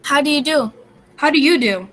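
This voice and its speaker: An anxious, well-bred mother, put on by a woman reader greets people in a fluttery, overly polite way. The voice is pitched noticeably higher and sounds a little off the mic. It is a reader's theatre reading. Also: expressive, moderate pace, fairly bright tone.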